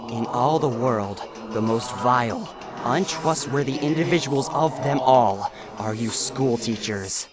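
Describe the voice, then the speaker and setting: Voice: mid-range voice, measured pace, over music, lightly acted. A performer voicing a bitter, hostile spirit in a game dub, speaking in a formal, condescending, menacing way. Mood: bitter, accusing. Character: bitter hostile ghost, taunting, distrustful of teachers. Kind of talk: dramatic fan game dub voice acting.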